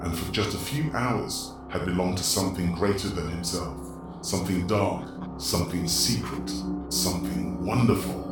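A man giving a dramatic, scripted narration of a horror story. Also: deep voice. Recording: echoey, distant, under prominent music. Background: prominent music.

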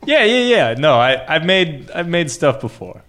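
A man's voice: fairly high pitch, sing-song, normal speed, a bit bright. A man playing a proud, oblivious inventor father in improvised comedy, casual and offhand, a little defensive. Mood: breezy. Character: breezy, proud tinkerer dad, oblivious to danger. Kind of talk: improvised radio theater comedy.

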